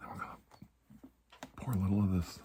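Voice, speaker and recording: A man doing soft-spoken ASMR roleplay as a calm doctor, speaking gently and reassuringly, often dropping into a whisper. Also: low voice, a little fast, a little hiss or hum. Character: calm, reassuring male doctor examining a patient. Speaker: ASMR performer playing a doctor.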